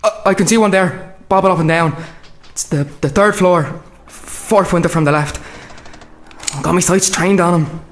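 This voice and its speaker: A man's voice: high-pitched, moderate pace. A man playing a watchful young soldier with an Irish accent in a radio play. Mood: tense, hesitant.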